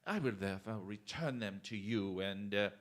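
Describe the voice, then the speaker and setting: A man acting a courteous, shrewd, chivalrous detective in a radio drama, with formal, deliberate speech. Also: fairly low pitch, a bit bright, slight background noise, close to an everyday voice. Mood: gallant, firm. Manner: formal, polite, theatrically deliberate.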